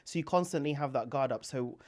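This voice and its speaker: A podcast host asking questions and sharing experiences in a relaxed, slightly hesitant way, with fillers like "um" and "I guess". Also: medium pitch, brisk. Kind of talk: casual podcast interview.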